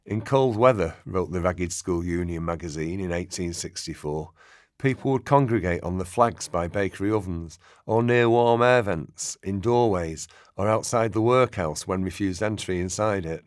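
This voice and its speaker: A man acting as a sober narrator, giving measured, expository documentary-style narration in a radio play. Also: sits low, dry recording, studio quality, lightly acted. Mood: sober, explanatory. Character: sober male historical narrator.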